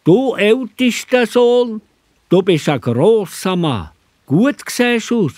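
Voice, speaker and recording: A man acts out an old, pious prophet with a Swiss accent. His voice sits fairly high and moves in big, theatrical swings. The recording is close and dry, made for a children's radio play. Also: expressive, slow, a little mellow, dry recording, exaggerated voice. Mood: admiring. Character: old biblical prophet, pious, solemn, astonished. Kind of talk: children's Bible audio drama acting.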